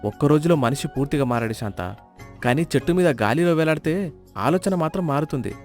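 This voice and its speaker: A man's voice: on the high side, animated, brisk, a little mellow, faint music behind. A man doing Lokanatham, a stingy village miser. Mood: rueful. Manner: whiny, counts every rupee. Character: stingy middle-aged village man, penny-counting, comic. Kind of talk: storybook voice acting.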